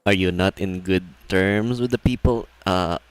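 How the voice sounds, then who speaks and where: A man's voice: sits low, a little slow. A man doing casual live game commentary as a streamer, musing and hesitant as he thinks aloud. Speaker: livestreamer, gamer. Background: game music.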